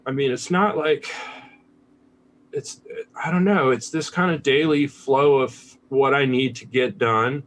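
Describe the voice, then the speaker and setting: A man's voice: mid-range voice, a little slow, fairly bright tone, slight background noise. An American man talking casually as a podcast guest, candid and laid-back, swearing freely. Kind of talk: casual conversational interview.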